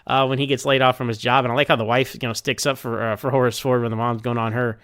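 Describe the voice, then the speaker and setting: A man's voice: medium pitch, little pitch movement, fast talker, fairly bright tone, clear, resonant tone, no room sound, clean studio sound. Clean-voiced man casually reviewing television. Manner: conversational, hedging, thinking aloud. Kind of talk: casual rambling TV episode review.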